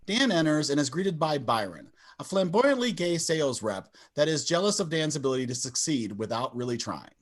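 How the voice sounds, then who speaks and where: A man's voice: on the high side, big pitch swings, fairly quick, few pauses. A man reading scripted stage directions as narrator of a table read over a video call.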